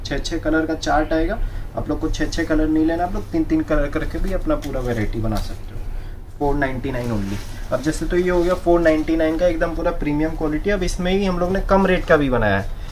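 Young man, saree seller, slightly high voice, brisk sales patter, faint room noise. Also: darkish tone. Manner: brisk sales patter, repeating prices in English. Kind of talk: wholesale product showcase. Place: indoor saree shop floor.

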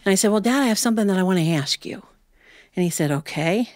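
A middle-aged American woman tells a personal story on stage to an audience. Her voice sits at an ordinary pitch, picked up close and dry on a microphone. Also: lively intonation, fast, a little mellow, no echo. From Ohio, United States.

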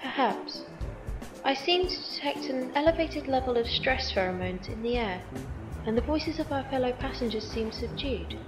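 An unemotional, analytical female companion, played by a woman in a sci-fi audio drama. Her high, precise voice echoes over music. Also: animated, moderate pace. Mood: detached, curious. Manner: formal, precise.

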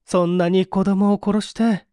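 A man's voice: high-pitched, level tone, unhurried, dark tone, no room sound, clean studio sound, voice pushed up. A man voicing an anxious, fearful wife and mother who pleads in polite, feminine speech. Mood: worried.

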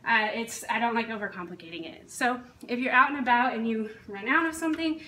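A woman's voice: on the high side, fairly quick, bright, forceful tone. A woman in her thirties, a fitness coach, talking casually and matter-of-factly to camera as she explains her routine in a home kitchen. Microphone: camera a few feet away. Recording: echoey kitchen, distant mic, faint hiss.

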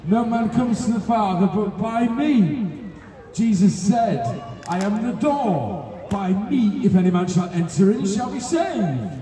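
A middle-aged man preaching an open-air sermon through a loudspeaker, projecting emphatically phrase by phrase. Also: high-pitched, expressive, a little slow. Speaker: street preacher. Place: outdoors on a busy pedestrian shopping street. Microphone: handheld PA microphone, filmed from several metres. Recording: echoing distant loudspeaker with street crowd.

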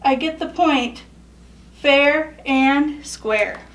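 A woman's voice: high-pitched, extremely slow, recorded from a distance, heavily acted, low-quality recording. A woman voicing an eager, cheerful trapezoid character from a picture book, keen and pleading to be included. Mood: hopeful. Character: eager, cheerful trapezoid shape who wants in.

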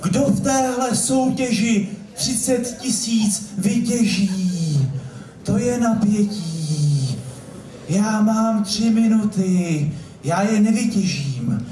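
High-pitched man declaiming slam poetry. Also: lively intonation, warm tone. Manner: theatrical, declamatory, repeating words for emphasis. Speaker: slam poet. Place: club stage at a live competition. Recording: echoey hall, distant stage microphone.

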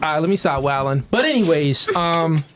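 A man hosting a live podcast, teasing his guests in casual banter from a couch in a studio, into a mic on a boom arm. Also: expressive, normal speed, noisy background. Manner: teasing, laughs often and gets loud when amused. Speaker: podcast host.

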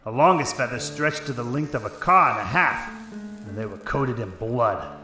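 A man's voice: medium pitch, normal speed, pushed and bright, lightly acted. A man playing a hard-boiled detective, telling his story in terse, dramatic first-person noir narration. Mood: tense recounting. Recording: echoey room, music underneath. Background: music.

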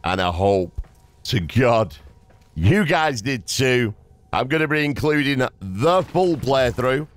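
A man's voice: medium pitch, animated, slow pace. A man hosting a gaming video, giving casual, laid-back and appreciative playthrough commentary into a close mic. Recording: close dry mic, game music behind.

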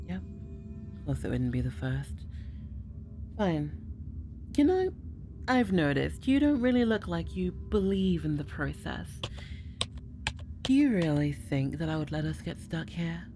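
A woman's voice: fairly low pitch, heavily acted. Woman playing a teasing, bluffing girlfriend.

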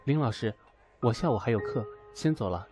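A man acts out a reserved young man in an audio drama. His medium-pitched voice is guarded and hesitant, with short, cold replies. Faint music plays behind him. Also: expressive, brisk, close to an everyday voice. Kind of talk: amateur Chinese audio drama acting.

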